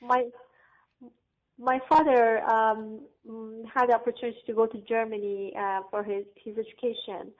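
A woman from Afghanistan speaks in a flat, even voice, pausing often and searching for her words in English. Her voice comes through a thin, phone-like line. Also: fairly high pitch. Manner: halting, searching for words, frequent fillers. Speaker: guest. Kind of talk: interview answers.

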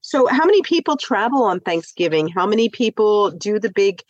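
A woman's voice: mid-range voice, sing-song, normal speed, dry recording, dull audio. A woman hosting a live radio show, sounding stuffy from the flu, talking in an upbeat, chatty way. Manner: bubbly, upbeat, chatty with fillers. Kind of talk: chatty live radio talk on home improvement. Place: radio studio.

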